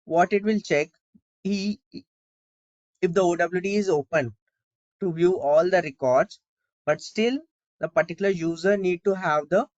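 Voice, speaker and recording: A man giving an informal step-by-step software tutorial, often asking and answering his own questions as he explains. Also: sits high, dry recording, lo-fi sound. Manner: repetitive explaining with rhetorical questions.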